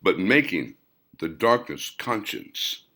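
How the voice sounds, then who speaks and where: A man voicing a gruff skeptic who answers curtly and with mockery. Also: low voice, sing-song, takes it slowly, sharp tone, heavily acted. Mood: retorting. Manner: curt and mocking.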